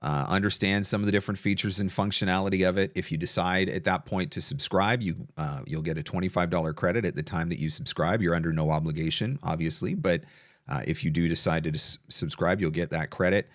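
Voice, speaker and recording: Low-voiced man hosting a webinar. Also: fairly even intonation, fast talker, no echo, studio quality. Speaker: webinar host. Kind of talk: casual interview and product pitch.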